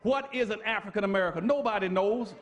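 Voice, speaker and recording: A man with a notably high voice delivers a fiery public lecture. He talks like a preacher, leaning hard on repetition and rhetorical questions and pressing each point home. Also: fast, highs cut off.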